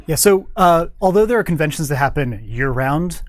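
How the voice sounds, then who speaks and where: A man's voice: fairly high pitch, lively intonation, normal speed, no echo. A man speaking as an interview guest in a studio, explaining his work in an informal way. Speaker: guest, game developer.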